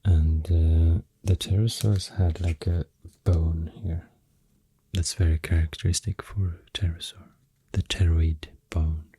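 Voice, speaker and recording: A man with a Swedish accent softly rambling through an ASMR explanation, breathy and often near a whisper, soothing and hesitant in manner. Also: deep voice, steady tone, slow pace, dry recording. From Sweden. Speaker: ASMR host.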